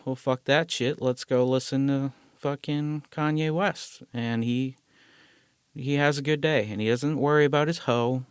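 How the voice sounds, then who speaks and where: A man hosting a casual solo podcast, rambling and profane with self-deprecating asides, recorded close on a mic in a small quiet room. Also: medium pitch, clean tone, no echo. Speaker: podcast host.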